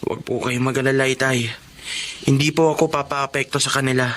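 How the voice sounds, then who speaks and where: A man's voice: medium pitch, fairly even intonation, a little fast, fairly bright tone, a little hiss or hum, close to an everyday voice. A man playing a polite, hardworking young student janitor, meek and earnest, in a radio drama.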